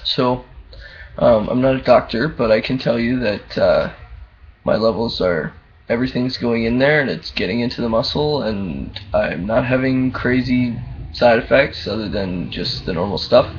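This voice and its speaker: A young man with a very low voice, talking candidly in a casual video diary, full of ums and uhs. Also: normal speed. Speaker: vlogger. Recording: dull lo-fi camera audio, faint hum.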